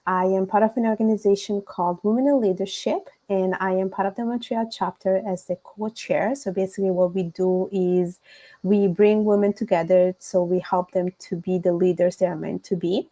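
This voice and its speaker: Italian-accented woman, mellow voice. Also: mid-range voice, moderate pace. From Italy. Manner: earnest, steady, with frequent fillers. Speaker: podcast guest. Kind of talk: interview conversation.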